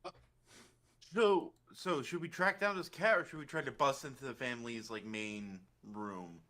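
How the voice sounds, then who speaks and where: A man's voice: mid-range voice, moderate pace, pushed and bright. A man playing in a tabletop game, hesitant and full of likes. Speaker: player.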